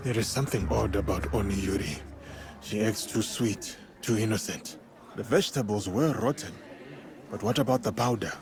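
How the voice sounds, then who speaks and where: A man playing a stern, wary samurai in a video game, speaking in a measured, serious manner. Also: on the low side, crowd noise, lightly acted. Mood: suspicious. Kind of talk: dramatic game voice acting. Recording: loud music behind.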